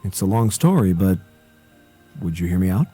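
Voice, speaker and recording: An actor playing an earnest, guarded young man in a video game, solemnly telling of a painful past in measured, formal words. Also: low-pitched, very animated, warm tone, breathy edge, soft background music, heavily acted. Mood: hesitant, resolved.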